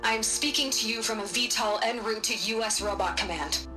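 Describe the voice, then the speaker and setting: A woman's voice: a little high, brisk, over music, lightly acted. A woman playing a stern, uncompromising scientist in a video game drama, with an American accent and a blunt, cutting delivery. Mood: stern, threatening.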